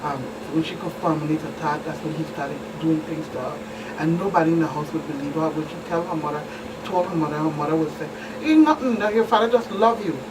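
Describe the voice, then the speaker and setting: A woman recounting cases conversationally as a guest expert, in a halting, deliberate way. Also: deep voice. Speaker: police commander, guest expert. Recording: distant mic, clear background noise.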